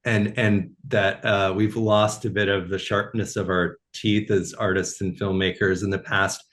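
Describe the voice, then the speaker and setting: A man hosting an interview about a film, talking with eager agreement and strong opinions. His voice sits a bit low. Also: little pitch movement, a little slow, fairly bright tone. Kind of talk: interview conversation.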